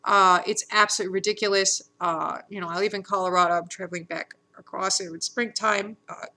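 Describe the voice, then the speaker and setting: Bright-voiced woman, rambling monologue. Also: medium pitch, fairly even intonation. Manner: rambling, halting, many fillers and restarts; earnest, insistent. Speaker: vlogger. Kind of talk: unscripted monologue.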